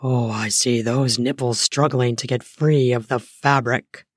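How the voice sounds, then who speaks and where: A woman voicing a confident, eager adult male lover in an erotic audio story, murmuring low and slow. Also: steady tone, slightly rough, no room sound, clean studio sound, full character voice. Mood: lustful.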